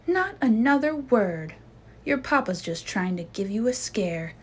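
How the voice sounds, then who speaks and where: A woman's voice: a little high, sing-song, unhurried, slightly rough, exaggerated voice. A woman voicing a wise, no-nonsense mother bear in a children's storybook, firm and emphatic. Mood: firm, reassuring.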